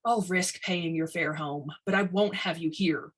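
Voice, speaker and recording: A woman voicing a gruff, stubborn, hot-tempered male construction boss who barks blunt orders in a story reading. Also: fairly low pitch, measured pace, a bit bright. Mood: stern. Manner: blunt, bossy, barking orders.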